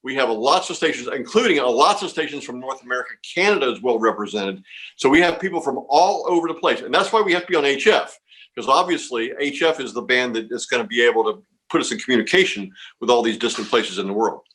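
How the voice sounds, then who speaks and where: A man's voice: medium pitch, lively intonation, fast talker, a bit bright. A man being interviewed as a contest organizer, explaining things informally and reassuringly, often repeating words and phrases.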